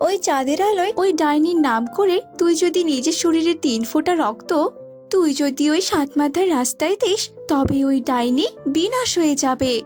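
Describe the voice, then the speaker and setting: A woman's voice: high-pitched, a little mellow. A woman voicing a wise holy mother in a cartoon, giving knowing advice in a solemn, instructive manner. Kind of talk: cartoon voice acting.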